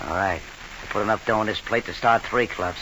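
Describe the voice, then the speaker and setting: A nasty gangster boss from an old radio thriller. His low voice is forceful and sharp, bullying and impatient. Faint hiss runs through the recording. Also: fairly even intonation, brisk, rough recording. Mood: threatening. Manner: hard, bullying, tough-guy slang. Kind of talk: old-time radio mystery acting. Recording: hissy old radio broadcast.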